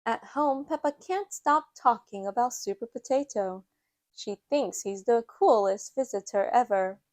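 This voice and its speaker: A woman reading a children's picture book aloud with a measured storytelling delivery. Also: fairly high pitch, no echo. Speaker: storytime reader.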